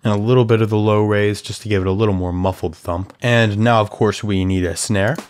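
A young man with a slightly raspy voice, explaining music production in a relaxed, chatty way from a small room at home. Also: fairly low pitch, a little fast. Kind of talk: casual tutorial walkthrough.

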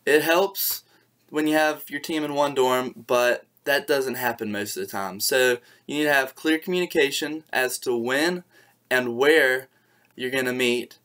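A man's voice: medium pitch, unhurried, a bit bright. Young man giving tips to webcam. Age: young adult. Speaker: student presenter. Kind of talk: presenting tips to camera. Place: bedroom, indoors.

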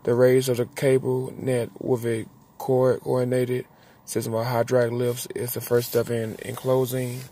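A man in his forties reading a newspaper article aloud in a parked car, halting and restarting words, with his own asides. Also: mid-range voice, monotone, dark tone. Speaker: vlogger reading the news aloud. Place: inside a parked car at dusk. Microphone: phone held at arm's length. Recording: phone audio with clear car and road noise.

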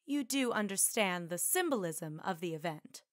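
A woman's voice: fairly low pitch, expressive, normal speed, no room sound, studio quality, natural voice. A woman playing a poised, determined young suffrage organizer in a radio drama, formal and emphatic. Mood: firm.

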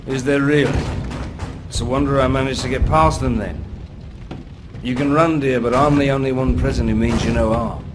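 An actor voicing a cocky, sly pirate rogue in a video game, glib and drawling, with mock-grand storytelling. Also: male voice, mid-range voice, fairly even intonation, moderate pace, noisy background, close to an everyday voice. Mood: cocky, teasing. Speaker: narrator and lead character. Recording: music under the voice.

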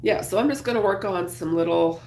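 A woman in her thirties with a cold, her voice low, raspy and gravelly from a numbed throat. She chats slowly and casually with her livestream viewers, greeting them by name. Her webcam picks up a roomy home room with a faint hiss behind her. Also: mellow. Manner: chatty, greets viewers while crocheting. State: congested, sore throat. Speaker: craft livestream host. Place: small home craft room, indoors.

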